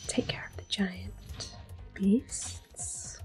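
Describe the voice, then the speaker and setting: A woman softly whispering gentle, unhurried ASMR commentary over a video game, with a breathy, hushed voice. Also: medium pitch, fairly even intonation. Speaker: gameplay host. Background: game music.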